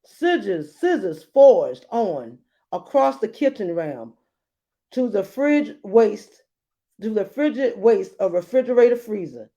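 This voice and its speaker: A woman's voice: mid-range voice, big pitch swings, slow, warm tone. A woman reading a children's storybook aloud in a dramatic way, with playful asides. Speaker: storybook reader. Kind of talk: animated children's read-aloud narration.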